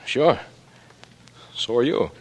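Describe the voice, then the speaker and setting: An actor playing a cocky, suspicious young blackmailer in an old American radio drama, talking in a terse, wary way. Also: male voice, mid-range voice, very animated, takes it slowly, husky, heavily acted. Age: young adult. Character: cagey, brash young small-time blackmailer, suspicious and cocky. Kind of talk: 1940s radio drama acting. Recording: noisy vintage radio broadcast recording.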